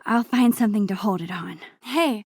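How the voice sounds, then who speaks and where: A woman voicing a sweet, shy, nerdy teenage girl in a video game, with a soft, often breathy voice and a gentle, teasing, earnest manner. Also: mid-range voice, moderate pace, dry recording, clean studio sound, close to an everyday voice. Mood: playful.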